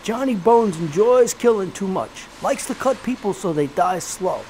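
High-voiced, animated gangster boss. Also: male voice, moderate pace, a little hiss or hum, low-quality recording. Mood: grim warning. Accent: American. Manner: streetwise slang, sly insinuation, theatrical. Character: gleeful sweet-toothed gangster crime boss, sly and menacing. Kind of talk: period gangster audio drama acting.

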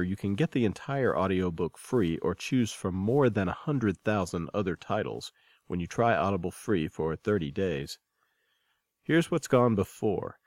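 A man's voice: lowish voice, no echo. A man hosting, reading a scripted introduction and recap in a narrator's manner. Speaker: podcast host.

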